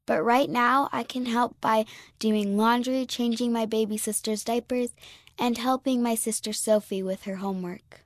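A woman's voice: fairly high pitch, fairly even intonation, moderate pace, dry recording, clean studio sound. Woman reading a children's magazine aloud. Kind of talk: scripted narration.

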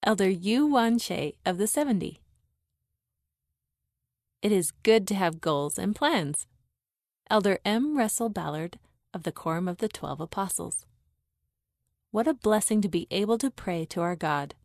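A woman reads short quotes and their credits in a calm, clear voice. The sound is dry and close. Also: medium pitch, unhurried, long pauses, no room sound. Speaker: reader of a church magazine. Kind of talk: scripted narration.

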